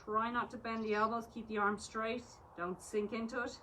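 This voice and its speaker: A woman's voice: fairly high pitch, level tone, normal speed, sharp tone, slight background noise, rough recording. A woman with an Irish accent teaching a live exercise class, cueing each movement and counting reps with encouragement. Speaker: fitness instructor.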